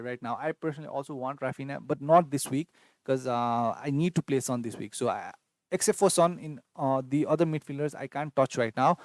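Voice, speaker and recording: A man's voice: mid-range voice, no room sound. Male streamer, Newar, hesitant and thinking aloud, dry booth-like sound. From Nepal. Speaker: fantasy football livestream host. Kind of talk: casual live Q&A reading chat.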